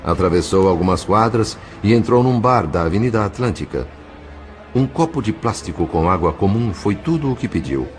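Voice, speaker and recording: A man with a Brazilian accent playing a grave, compassionate narrator in an audio drama, in measured, literary phrasing. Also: fairly low pitch, lively intonation, close to an everyday voice. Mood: solemn.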